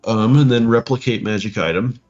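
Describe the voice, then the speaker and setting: A man co-hosting a podcast, casually discussing tabletop game rules and haltingly thinking aloud as he reads. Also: medium pitch, darkish tone. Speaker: podcast co-host. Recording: dull lo-fi audio, music behind.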